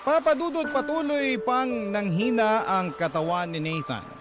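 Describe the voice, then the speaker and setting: An actor with a Filipino accent playing a grieving, fearful parent, narrating a radio drama in a solemn, emotional first-person style. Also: male voice, sits high, animated, unhurried, heavy background noise. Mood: solemn, sad. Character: grieving, fearful parent confiding a life story. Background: music.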